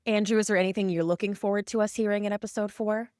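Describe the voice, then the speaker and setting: A woman's voice: mid-range voice, fairly even intonation, racing, darkish tone, clean tone, dry recording, studio quality. A woman hosting a podcast, chatting casually about a drama episode. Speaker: podcast host.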